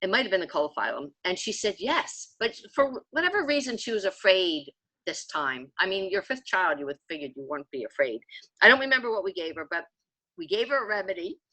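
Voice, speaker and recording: A woman's voice: mid-range voice, very animated, fairly quick, bright, forceful tone, no room sound, rough recording. A woman in her sixties telling personal stories in a chatty, emphatic, conversational way as a podcast guest. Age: 60s. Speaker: podcast guest, homeopathy enthusiast.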